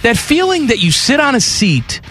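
A man's voice: very high voice, big pitch swings, normal speed, over music. A man co-hosting a college football talk show, opinionated and sarcastic in casual banter. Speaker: sports talk co-host.

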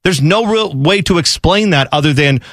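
A man's voice: on the high side, animated, moderate pace, fairly bright tone, no echo, clean studio sound. A man co-hosting a sports talk show, arguing his view casually and point by point with everyday examples.

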